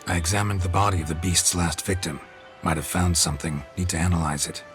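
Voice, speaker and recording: A deep, gravelly male voice from an actor plays a world-weary game monster hunter. He is stoic and deadpan, speaking in clipped phrases, with music clearly behind him. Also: fast, lightly acted. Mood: businesslike. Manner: laconic, deadpan, clipped sentences. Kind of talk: video game character acting.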